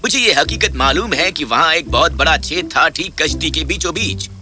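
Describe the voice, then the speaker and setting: Humble honest labourer: slightly high, lively cartoon delivery over music. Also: male voice. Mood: puzzled. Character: humble, honest working man, polite and earnest. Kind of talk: animated children's fairy tale dubbing.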